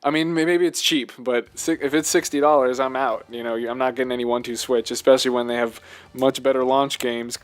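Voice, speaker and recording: A man co-hosting a podcast, chatting casually about games in a halting, rambling way. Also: medium pitch, steady tone. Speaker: podcast co-host. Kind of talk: casual chat, video game discussion.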